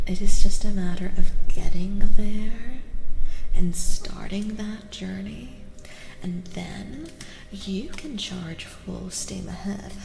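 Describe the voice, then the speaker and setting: A woman hosts a soft-spoken ASMR tarot stream, close and intimate with her listeners. Her delivery is slow and often drops into a whisper with a creaky edge. The recording is washed in long echoing reverb with clear noise behind it. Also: medium pitch. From United States. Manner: intimate, addresses listeners by name with endearments. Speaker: livestream host and tarot reader. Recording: noisy, echoey with long reverb.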